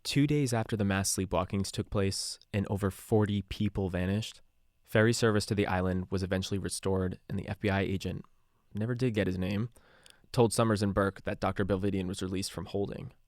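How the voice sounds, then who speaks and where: Man playing a weary sardonic radio DJ, low voice, dry close recording, confessional podcast drama narration. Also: steady tone, a little fast, a little mellow, dry recording, close to an everyday voice. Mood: grave recounting. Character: male radio DJ turned secretive investigator, embittered and haunted. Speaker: radio DJ narrating a taped case file.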